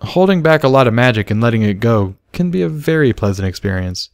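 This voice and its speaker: Storybook stallion mentor, read by a man: careful, hesitant, explanatory, lively pitch, dry close sound. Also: fairly quick, creaky, dry recording. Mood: careful, embarrassed. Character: awkward, careful adult male pony mentor.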